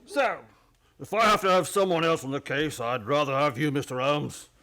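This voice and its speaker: An actor playing a plain-spoken, eager local police sergeant in a radio play, folksy, deferential and a little hesitant. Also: male voice, a little high, normal speed, pushed and bright. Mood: wary, frank.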